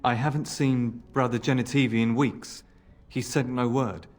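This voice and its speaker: A man playing an anxious, gloomy scholar's assistant in a video game, halting and fretful. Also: mid-range voice, steady tone, faint music behind, natural voice. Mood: worried. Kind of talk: video game character acting.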